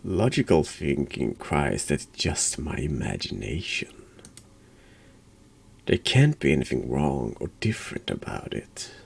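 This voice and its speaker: A man congested with a cold, hosting a horror game playthrough in a hushed ASMR style, halting and sometimes dropping into whispers. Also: rumbling low, big pitch swings, creaky, husky. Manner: slow, halting, drops into whispers. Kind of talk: hushed ASMR-style game commentary and read-aloud narration.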